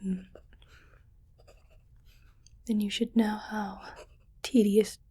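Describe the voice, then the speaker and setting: A woman voicing a haughty, fussy alien collector in a breathy, whispered ASMR roleplay, with a condescending manner. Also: mid-range voice, flat delivery, a little mellow. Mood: musing, critical. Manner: slow, deliberate, condescending.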